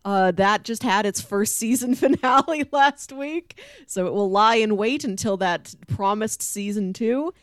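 A woman's voice: medium pitch, unhurried, dry recording, studio quality. A woman hosting a podcast in a conversational style. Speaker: podcast host.

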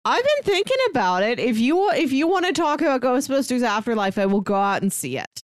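Higher-pitched, expressive woman in casual chat. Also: brisk, no room sound, clean studio sound. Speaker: podcast co-host. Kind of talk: casual pop-culture podcast chat.

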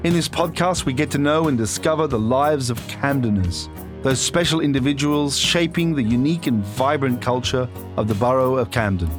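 A man's voice: mid-range voice, normal speed, music in the background. A man from Australia hosting an interview podcast, often stumbling and repeating words as he asks his questions. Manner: hesitant, repeats words while asking. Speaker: podcast host. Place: podcast studio.

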